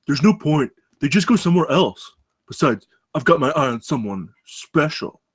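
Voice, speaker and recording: Cocky party-bro security guard, read by a man, mid-pitched with lively swings, table read. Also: normal speed, husky. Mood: cocky, casual. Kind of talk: live screenplay table read.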